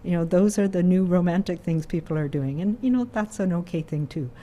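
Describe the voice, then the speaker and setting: A woman health official speaks in a mellow, fairly low voice. She chooses her words carefully and pauses often with soft fillers. Her tone stays calm and gentle throughout the clip. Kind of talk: press briefing remarks.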